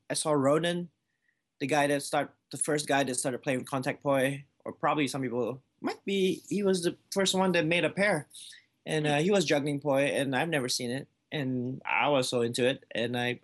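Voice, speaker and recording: A man's voice: on the high side, normal speed. Easygoing man from Malaysia. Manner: easygoing, lots of "like" fillers and restarts. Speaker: juggler, guest. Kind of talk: casual conversational answers.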